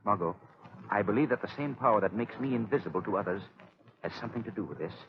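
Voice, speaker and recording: An actor playing a calm, commanding gentleman crime-fighter in 1930s radio drama style, speaking gravely and deliberately. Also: on the low side, lightly acted. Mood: grave, explaining. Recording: muffled 1930s radio broadcast recording.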